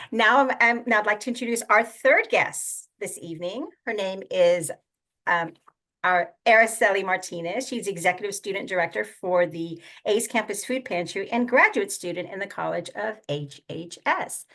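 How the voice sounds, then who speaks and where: A woman's voice: mid-range voice, lively intonation, moderate pace, fairly bright tone. Woman, panel moderator, upbeat, encouraging, video call audio. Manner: upbeat, encouraging, gushing reactions. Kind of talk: hosting a virtual panel.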